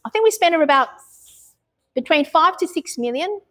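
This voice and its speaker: Australian woman, middle-aged, reflective interviewee, somewhat high voice, plenty of ums. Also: expressive, normal speed, fairly bright tone. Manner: open and reflective, frequent ums and restarts. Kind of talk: casual interview answers.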